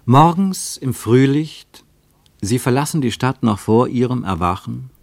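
A man narrating a radio travel play in a measured, lyrical way, with dramatic swings in pitch and loudness. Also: lively intonation, vocal fry, no room sound.

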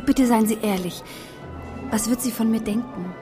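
A woman's voice: on the high side, moderate pace, over music, close to an everyday voice. An actress playing a prim, touchy, well-bred young woman who speaks formally and curtly in a German radio drama. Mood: nervous, prickly.

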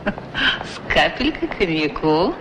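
A woman's voice: lowish voice, sing-song, slow. A woman acting a vain, gushing, coquettish society lady in a 1960s radio play. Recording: noisy old radio drama recording.

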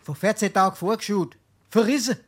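An actor playing a shameless, wheedling, penniless tippler in Darmstadt dialect, theatrically swinging between mutters and exclamations in a stage comedy. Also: male voice, sits high, fairly quick, slightly rough. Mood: lamenting. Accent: Hessian, Darmstadt dialect.

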